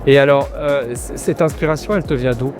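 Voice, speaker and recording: A man's voice: mid-range voice, a little fast, over music. A man interviewing an artist in a friendly, informal way, often repeating words as he asks questions. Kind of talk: casual interview at an art fair.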